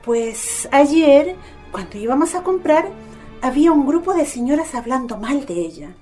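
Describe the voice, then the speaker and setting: A woman playing a kindly, gently intrigued mother in a radio drama. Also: high voice, sing-song, a little slow, faint music behind. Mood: intrigued, confiding.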